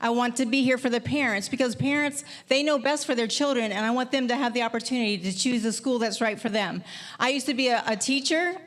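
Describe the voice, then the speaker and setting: Higher-pitched older woman making a campaign pitch. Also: quick pace, fairly bright tone. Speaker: political candidate. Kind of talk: campaign speech.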